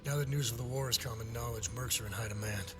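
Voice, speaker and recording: An actor playing a composed, persuasive intelligence operative in a video game, explaining things with a dry edge. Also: male voice, medium pitch, fast talker, music in the background, close to an everyday voice. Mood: dry insistence. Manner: explanatory, dryly sardonic. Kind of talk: dramatic video game acting.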